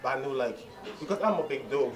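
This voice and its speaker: A man's voice: medium pitch, expressive, quick pace. Liberian-born young man, crowd all around. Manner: earnest, repeats himself, grows emphatic. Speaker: bodybuilding champion. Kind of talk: post-show interview. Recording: loud crowd at a contest venue.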